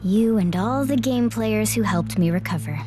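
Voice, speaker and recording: A woman's voice: mid-range voice, normal speed, lightly acted. A woman playing a composed, condescending game guide who is secretly a villain, in an anime game dub. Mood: gloating, calm. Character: game guide girl revealed as smug, scheming villain. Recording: dramatic background music.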